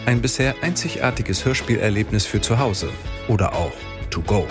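A man's voice: on the low side, expressive, normal speed, music in the background. A man presenting an audio feature in scripted narration.

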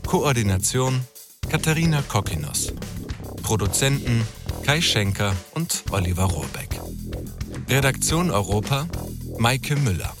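A male announcer reads credits in a measured, formal way. His medium-pitched voice moves in a lively melody, while loud guitar music plays behind him. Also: creaky. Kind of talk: scripted credits narration.

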